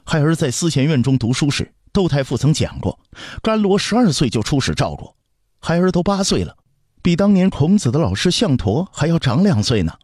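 A man's voice: fairly high pitch, big pitch swings, a little fast, a little mellow, slightly rough, dry recording, studio quality, voice pushed up. A man voicing a proud, stubborn eight-year-old crown prince who speaks formally and defiantly in a historical audio story.

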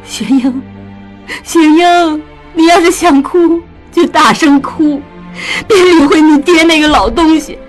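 A male storyteller voicing a distraught middle-aged mother in a wealthy family, speaking in a tearful, high falsetto. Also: takes it slowly, faint music behind. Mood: tearful, pleading. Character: anguished middle-aged mother of a gentry household.

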